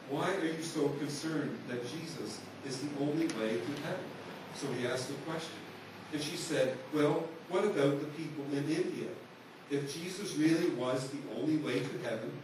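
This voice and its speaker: A man preaching a sermon, telling a story in an earnest, conversational way to his congregation. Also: medium pitch. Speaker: pastor. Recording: echoey hall, voice from far off, noisy.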